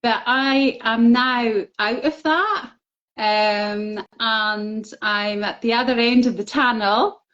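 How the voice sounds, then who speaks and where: A woman's voice: fairly high pitch, slow pace, a bit bright. A middle-aged woman telling her personal story openly and earnestly as an interview guest, with fillers. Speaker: guest, book contributor. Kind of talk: casual interview chat. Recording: slightly dull, lo-fi audio.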